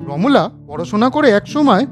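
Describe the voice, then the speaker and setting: A man's voice: high voice, very animated, normal speed, faint music behind. A man narrating a moral cartoon story, speaking directly and in a friendly way to children.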